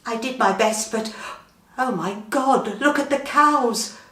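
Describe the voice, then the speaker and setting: A woman's voice: fairly high pitch, slow, fairly bright tone. A woman voicing a mild-mannered, wry male village shopkeeper in a comic story. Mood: urgent. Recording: roomy, slightly distant microphone.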